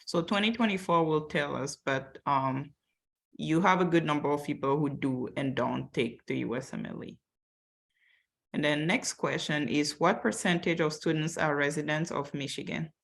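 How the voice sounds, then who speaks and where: A woman's voice: low-pitched, moderate pace, gravelly. A woman in her twenties from Cameroon, a medical student, reading audience questions aloud and adding her own experience in a chatty way.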